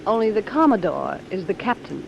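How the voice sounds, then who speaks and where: A woman's voice: medium pitch, sing-song, brisk, gravelly, lo-fi sound. An actress playing a guarded, world-weary but tender noir woman in a 1940s radio drama, terse and understated. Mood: urgent. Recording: vintage radio recording with rain sounds.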